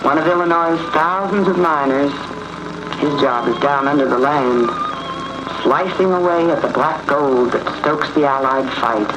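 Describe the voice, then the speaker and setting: A man's voice: on the high side, unhurried. A man delivers scripted, folksy 1940s propaganda film narration, speaking directly to his audience. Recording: dull vintage film soundtrack with loud music. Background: loud music.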